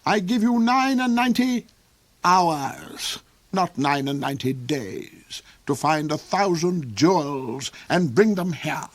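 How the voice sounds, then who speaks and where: A cold, sneering old duke, played by a male reader, who drawls every cruel word slowly. He savours his threats and lets them fall into silky, hissing whispers. The voice sits close and dry, with no room around it. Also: a little high, lively intonation, dry recording, voice pushed up. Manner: slow, silky, mocking, drops into hissing whispers. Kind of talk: audiobook character voice.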